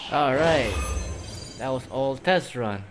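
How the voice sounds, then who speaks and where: Casual gaming man over loud music. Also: medium pitch, expressive, takes it slowly. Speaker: gameplay video host. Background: loud game music.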